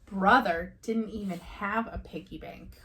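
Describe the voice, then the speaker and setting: A woman's voice: medium pitch, a little slow, pushed and bright. A woman reading a children's storybook aloud as a teacher would, in narration.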